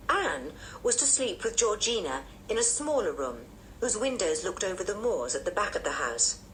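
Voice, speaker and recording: A woman narrating a children's book in an expressive storytelling manner. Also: medium pitch, a little hiss or hum, rough recording. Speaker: audiobook narrator. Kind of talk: children's story read-aloud.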